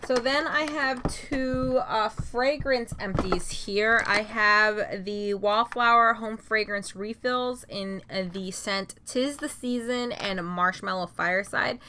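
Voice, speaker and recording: A woman's voice: on the high side, slow, few pauses, sharp tone, slight background noise. A young adult woman vlogger giving a casual, chatty product review, filling her talk with "like" and "um". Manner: chatty, many "like" and "um" fillers. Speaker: beauty vlogger.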